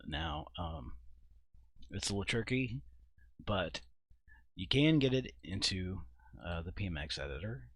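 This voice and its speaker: A man giving an informal software walkthrough at his computer, thinking aloud in a halting, casual way. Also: low voice, lively intonation, slightly rough, no echo. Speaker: video creator and host. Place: indoors at a computer.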